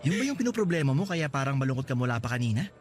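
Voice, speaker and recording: A man's voice: medium pitch, very animated, rapid-fire, soft background music, close to an everyday voice. An actor playing a cheerful, joking young male coworker in a radio drama, teasing and boasting playfully. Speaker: Lester.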